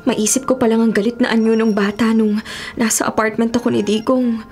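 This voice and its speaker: A woman's voice: fairly high pitch, flat delivery, brisk, darkish tone, soft background music, lightly acted. An actress playing a frightened, evasive young female college student in a Filipino radio horror drama. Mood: anxious, reluctant. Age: young adult.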